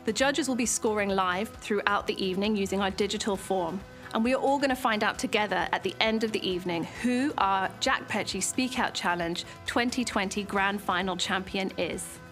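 A woman, hosting an event with clear, upbeat scripted presenting to camera on a dark studio stage. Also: medium pitch, moderate pace, few pauses, faint music behind. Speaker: event host.

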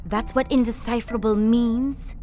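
A woman playing a haughty, bossy immortal sorceress in an animated film, with dry, theatrical sarcasm. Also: fairly high pitch, normal speed, lightly acted. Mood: dry, annoyed. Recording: film clip with music underneath.

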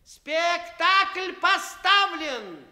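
Shrill, clownish commedia chancellor. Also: male voice, animated, bright, forceful tone, heavily acted. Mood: droll, mock-solemn. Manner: comic, stammering, theatrically drawn-out announcing. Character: Tartaglia, clownish male commedia dell'arte mask playing pompous chancellor. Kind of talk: theatrical comedy master of ceremonies. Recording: Soviet radio stage recording, long hall reverb.

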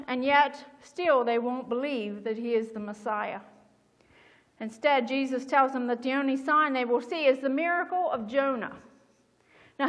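A middle-aged woman pastor giving a sermon from a church pulpit, speaking in a measured, earnest way. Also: sits high, a bit bright. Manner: measured, earnest reading aloud. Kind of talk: sermon with scripture reading. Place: church sanctuary behind a pulpit. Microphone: small mic at the pulpit. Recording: long church reverb.

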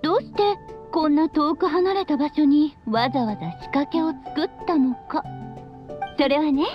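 A woman acts out a free-spirited witch mother for an anime game. Her high voice is breezy and teasing, yet doting. The sound is slightly dulled, with music playing behind her. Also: normal speed, dull audio, lightly acted. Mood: playful. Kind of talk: Japanese anime game voice acting.

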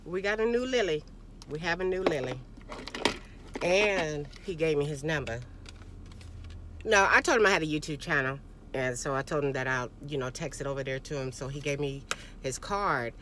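A woman's voice: sits low, normal speed, long pauses, background noise. Chatty woman vlogging from her car. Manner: chatty, loose and conversational, often calls listeners "girl". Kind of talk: casual chat. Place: parked car. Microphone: phone camera at arm's length.

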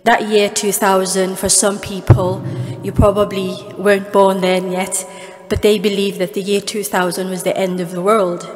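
A woman with a Filipino accent gives an earnest speech from a podium in a large cathedral, her voice trailing off into a long reverb. Her pitch stays fairly level and unvaried, and faint music sits low in the background. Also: little pitch movement, moderate pace, darkish tone. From Philippines. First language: Tagalog. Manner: earnest, with small restarts and fillers. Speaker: guest speaker at an award ceremony. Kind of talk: prepared speech with personal stories. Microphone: podium microphone, far camera.

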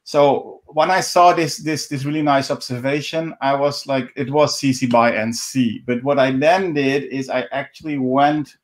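A middle-aged native Dutch man with a Dutch accent, giving an informal walkthrough on a video call in a halting, repetitive way. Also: mid-range voice, steady tone. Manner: halting, repeats words, restarts often. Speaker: live stream presenter. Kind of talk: informal screen-share walkthrough. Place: indoors at home, on a video call. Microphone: video call microphone.

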